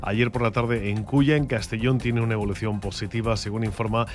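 A male radio news presenter reading headlines and sports news in a formal, crisp manner. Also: fairly low pitch, steady tone, brisk, soft background music. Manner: crisp, formal bulletin delivery.

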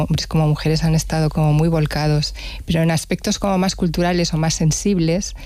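A woman's voice: low-pitched, dark tone, no room sound. A woman with an accent from Spain, a musician giving reflective, conversational interview answers and rephrasing as she goes. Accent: Spanish from Spain.

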